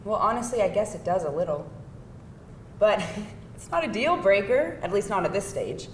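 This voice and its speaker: A woman's voice: medium pitch, sing-song, normal speed, recorded from a distance, lightly acted. A woman playing a wry, candid, incredulous date in a live screenplay table read. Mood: wry disbelief. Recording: echoey live room, faint noise.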